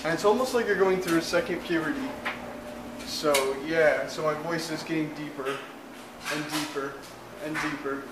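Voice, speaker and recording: A man's voice: on the high side, normal speed, very noisy. A young man vlogging casually from a small cluttered spare bedroom, rambling with lots of fillers, speaking into a handheld camera held at arm's length as he walks around. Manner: rambling, filler-heavy, loose and chatty. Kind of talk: casual vlog chat. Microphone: handheld camera at arm's length, moving around.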